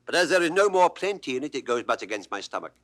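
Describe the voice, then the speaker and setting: A mock-grave court jester with a British accent, bright and wildly varied in pitch. He delivers balanced, teasing pseudo-philosophy in classical stage style, as in a 1960s recorded play. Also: very animated, quick pace. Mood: mock-philosophical, teasing. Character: witty, mock-grave court jester lording it over a shepherd. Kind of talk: classical Shakespearean stage acting. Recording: 1960s studio audio drama recording.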